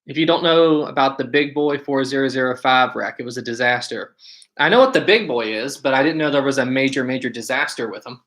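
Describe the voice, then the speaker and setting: Bright-voiced man with West Virginia accent. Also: mid-range voice, a little fast. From West Virginia, United States. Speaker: livestream host. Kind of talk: casual livestream chat, answering viewer comments.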